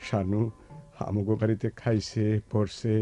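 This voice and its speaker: An actor playing an excitable, doting older brother from a village, blustering and rambling in a rural eastern Bengali accent in a radio play. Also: male voice, fairly low pitch, measured pace, natural voice. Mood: subdued pleading. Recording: music behind, radio drama production.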